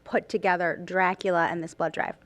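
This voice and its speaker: A woman's voice: fairly low pitch, fairly even intonation, a little fast, gravelly. A woman reporting live on location for a morning show, chatty and playful as she interviews guests. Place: on location inside a community theater. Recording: background music behind voice.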